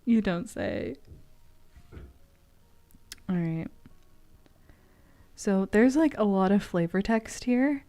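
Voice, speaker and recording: A woman with a medium-pitched voice talks casually as she does tarot readings for a stream. She is playful and swears easily, switching between chatty asides and card interpretations. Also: animated, slow pace, long pauses, dark tone. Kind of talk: casual chat mixed with reading aloud from a tarot book.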